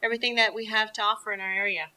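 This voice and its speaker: Very bright-voiced woman panelist. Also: medium pitch, level tone, racing, smooth, rough recording. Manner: brief, agreeable replies. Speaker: talk show panelist. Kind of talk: casual panel chat.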